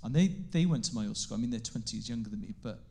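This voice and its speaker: A man's voice: medium pitch, animated, fast, dark tone. A Welsh man in his forties whose first language is Welsh, a comedian guest telling stories in casual chat and laughing often. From Wales. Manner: chatty storyteller, laughs often.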